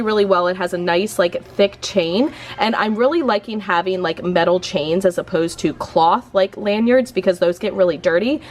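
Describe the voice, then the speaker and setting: Chatty young woman with a sore throat. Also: medium pitch. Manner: chatty, upbeat, talks to the camera. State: sore throat, losing her voice. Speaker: elementary school teacher vlogger. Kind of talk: casual vlog chat.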